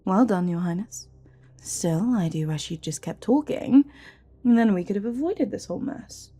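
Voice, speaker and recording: A woman playing a scheming, smug evil royal advisor with magic in a fantasy audio drama, breathy and often near a whisper, taunting with mock courtesy. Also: medium pitch, animated, warm tone. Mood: sly, amused. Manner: theatrical, mock-courteous, taunting, drawn-out phrasing.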